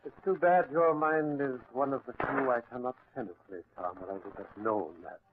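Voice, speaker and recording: A man's voice: mid-range voice, lightly acted. Man playing controlling sinister mentalist husband, silky and commanding, tinny noisy vintage radio drama. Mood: smooth, controlling. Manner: commanding, silky, deliberate theatrical delivery. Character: menacing, possessive stage mind reader and controlling husband. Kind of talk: old-time radio thriller acting.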